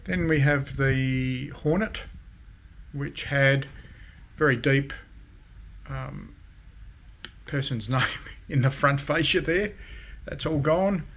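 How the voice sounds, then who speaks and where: A man presenting his hobby restorations in a casual show-and-tell, speaking haltingly with frequent pauses between short phrases. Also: mid-range voice, fairly bright tone. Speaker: radio restoration hobbyist and vlogger.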